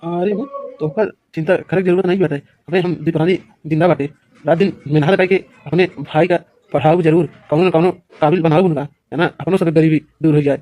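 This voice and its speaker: A man's voice: a little high, fairly even intonation, brisk, mellow, dry recording, lightly acted. A man playing a determined, hardworking farmer and elder brother in a village cartoon, reassuring and emphatic, with an Awadhi accent. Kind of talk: cartoon village comedy dialogue.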